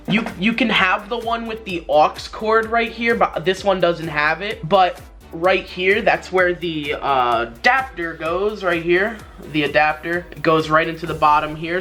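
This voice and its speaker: A young man talks through a casual tutorial in a bright, forward voice that sits a little high. He rambles, doubling back to rephrase his points. Light music plays behind him. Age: young adult. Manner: rambling, repeats and rephrases himself. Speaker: YouTuber, tutorial host. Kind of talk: casual tutorial talk to camera. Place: small bedroom gaming setup, indoors. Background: background music.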